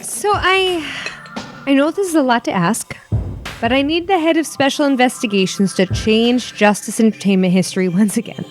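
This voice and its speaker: Woman acting a high-voiced, condescending corporate coordinator. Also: moderate pace, lightly acted. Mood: persuasive. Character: smooth-talking, condescending female corporate regional coordinator. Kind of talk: dramedy audio acting. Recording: music behind the voice.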